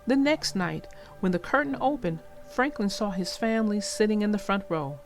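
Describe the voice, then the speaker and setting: A woman narrating for young children in an expressive, reassuring way, as if reading aloud and talking directly to them. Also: mid-range voice, normal speed, soft background music. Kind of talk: read-aloud storytelling and advice to young listeners.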